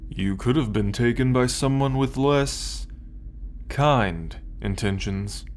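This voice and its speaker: A man playing an arrogant, possessive alien soldier in an ASMR roleplay, condescending and menacing, speaking with deliberate pauses. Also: mid-range voice, a little hiss or hum, natural voice. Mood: smug, threatening. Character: arrogant possessive male alien soldier, cold, darkly amused captor.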